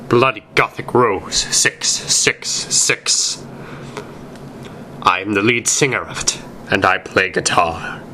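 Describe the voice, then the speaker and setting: A man reading a story aloud as narrator, with deliberate, emphatic, amateur dramatic delivery. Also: fairly low pitch, pushed and bright, a little hiss or hum.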